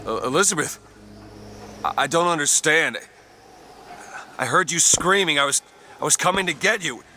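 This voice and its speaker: An actor playing a desperate, confused investigator on a rescue in a video game, urgent and halting. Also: male voice, fairly high pitch, bright, forceful tone, a little hiss or hum. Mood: confused, apologetic. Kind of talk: dramatic video game acting.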